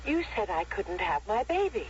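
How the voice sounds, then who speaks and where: A woman's voice: mid-range voice, sing-song, normal speed, slight background noise, close to an everyday voice. An actress playing a distraught, defiant woman in a radio drama, pleading and faltering on a muffled old broadcast. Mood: accusing. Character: distraught, defiant woman in a future society, forbidden a baby.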